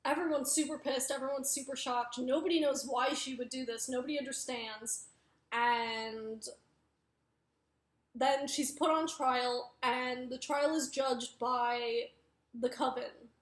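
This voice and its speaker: A woman's voice: high-pitched, steady tone, measured pace. A young woman with a mixed Wisconsin, New York and Arizona accent, casually chatting through a book review in a rambling way, correcting herself and filling gaps with "like". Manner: rambling, self-correcting, many fillers. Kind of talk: casual chat. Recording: echoey room.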